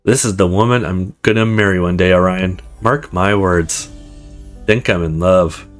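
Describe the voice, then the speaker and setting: A man's voice: sits low, unhurried, faint music behind, pitched below the performer's own voice. A man voicing a lovestruck, boastful young best friend in a visual novel game. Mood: smitten. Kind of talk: visual novel character read-aloud.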